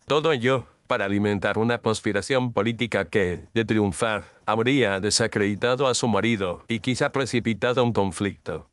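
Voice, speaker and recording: A man playing a measured, incisive gentleman detective in an audio drama, speaking formally and deliberately. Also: fairly low pitch, no echo, natural voice. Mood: coldly accusing. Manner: formal, deliberate, coolly accusing. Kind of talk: dramatized audio story acting.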